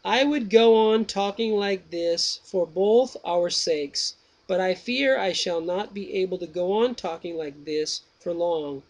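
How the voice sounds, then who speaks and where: A man reads out Socrates, an older philosopher who questions a younger man with slow patience. Each question is weighed out carefully. The voice sits high for a man and drags out its phrases. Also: rough recording. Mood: probing.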